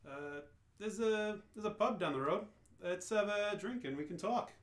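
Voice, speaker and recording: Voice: mid-range voice, moderate pace, lightly acted. Urgent, cryptic male alien friend for radio comedy, performer acting, dry delivery, roomy sound. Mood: urgent, insistent. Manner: deadpan, insistent. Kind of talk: amateur radio play reading. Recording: roomy, echoing live reading.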